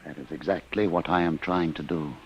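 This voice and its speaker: An actor playing a weary, remorseful inventor, grave and deliberate, in vintage radio drama style. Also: male voice, very deep voice, steady tone, breathy edge, full character voice. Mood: guarded, confessing. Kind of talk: 1950s radio drama acting. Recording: muffled hissy old radio recording.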